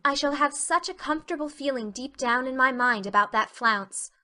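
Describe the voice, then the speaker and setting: Talkative earnest teen girl, adult actress, bright and forceful, dramatic and full of feeling, for storybook drama. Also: sits high, normal speed. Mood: earnest, chatty. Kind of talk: dramatic reading of a classic novel.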